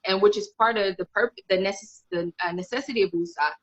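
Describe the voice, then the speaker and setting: A woman's voice: medium pitch, quick pace, highs cut off. A woman in her thirties, a guest author talking candidly and rambling a little in a casual interview over a video call. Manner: candid, rambling, many restarts.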